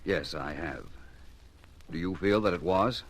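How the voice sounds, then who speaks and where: A man's voice: sits low, a little slow. A man playing a courteous, probing private investigator with formal, polished speech in an old radio drama. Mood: probing, pointed. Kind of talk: old-time radio detective drama acting.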